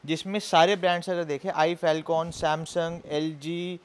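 A man in his thirties, a shop salesman briskly pushing discount deals in a warehouse. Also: a little high, steady tone, clear, resonant tone. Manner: brisk, pushy deal-selling. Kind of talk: sales pitch on a store walkthrough. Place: indoor appliance warehouse.

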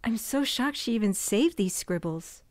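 An actress voicing a quiet, stubborn young woman who dabbles in magic, in an audio drama, speaking plainly and earnestly. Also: fairly high pitch, expressive, moderate pace, no room sound, close to an everyday voice. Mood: wistful. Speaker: shop heir and cousin.

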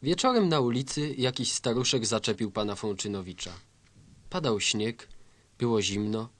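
A man narrating a scripted satirical story in a deadpan style. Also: mid-range voice, moderate pace, slight background noise.

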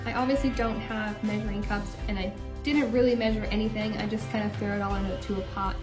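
A young woman hosting her own home cooking show, talking through each step in a relaxed, chatty way with small asides. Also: medium pitch, a little fast, over music. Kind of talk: casual cooking voice-over. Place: home kitchen.

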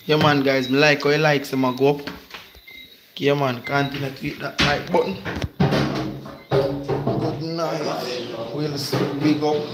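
A man's voice: a little high, fairly even intonation, measured pace, pauses often, over loud music. A young man with a Jamaican accent, chatting casually as he hosts a livestream on a handheld phone. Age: young adult. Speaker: livestream host. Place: home kitchen and bedroom, indoors. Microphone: phone held at arm's length.

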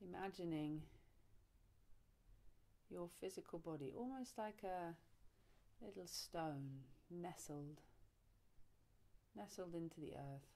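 A woman leading a guided relaxation meditation, soothing and unhurried, speaking in short phrases with long pauses. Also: lowish voice, dark tone. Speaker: yoga nidra teacher, meditation guide.

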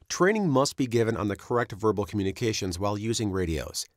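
A man with a fairly low voice narrates a training course from a script. His intonation is lively and varied while his delivery stays measured and instructive. The voice-over sounds dry and close to the mic. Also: no room sound.

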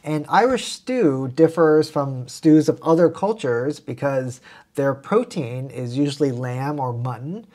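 A man in his thirties from the United States talks casually to camera, enthusiastic and full of little fillers and restarts. His voice sits higher than most men's. He is close on a clip-on mic in a quiet room. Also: measured pace. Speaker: travel vlog co-host. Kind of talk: casual food recommendations to camera. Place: indoor home room with plants. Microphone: lapel mic.

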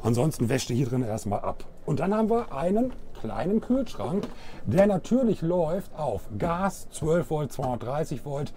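A middle-aged man hosts a casual product tour. His voice sits a little high but stays soft-edged and relaxed. He chats colloquially, as if showing a friend around. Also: moderate pace, slight background noise. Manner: chatty, colloquial, addresses viewer directly. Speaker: vlog host presenting caravans. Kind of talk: casual walk-through product presentation. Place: caravan trade fair hall and inside a caravan. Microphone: wireless clip-on microphone.

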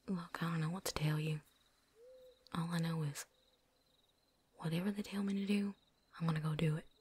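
A woman playing a tough, world-weary vampire hunter in a whispered ASMR roleplay, breathy, with a folksy put-on drawl. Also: on the low side, a little mellow, slight background noise, lightly acted. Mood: firm, threatening. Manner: folksy drawl with dropped endings, put-on accent.

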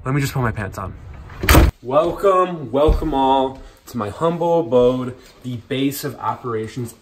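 A young man playing a bumbling, awkward league manager in a mockumentary, rambling with deadpan asides while giving a house tour. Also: mid-range voice, close to an everyday voice. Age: young adult. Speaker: mockumentary lead. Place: family house rooms and garage, indoors. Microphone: handheld camera a few feet off. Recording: roomy home echo, faint hiss.

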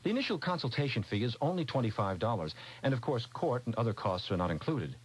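Guy doing an 80s radio ad, kinda husky, lively pitch, old cassette sound. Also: fast. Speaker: commercial spokesman. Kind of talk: scripted 1980s radio commercial.

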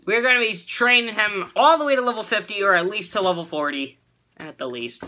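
A man's voice: high voice, lively intonation, fairly quick, pushed and bright. A young teenage boy hosting a casual toy unboxing in his bedroom, rambling and giggling, recorded on a webcam. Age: young teen. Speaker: channel host. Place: bedroom, indoors.

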